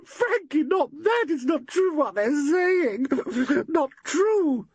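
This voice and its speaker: A man doing a shrill sobbing young actress. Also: very animated, a little slow, no room sound, full character voice. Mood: wailing. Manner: sobbing, wailing.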